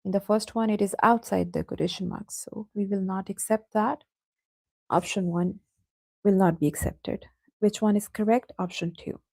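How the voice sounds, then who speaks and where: Patient female teacher for an online class, gravelly voice, dry close sound. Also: mid-range voice, steady tone, normal speed, darkish tone, dry recording. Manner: patient, addresses students by name.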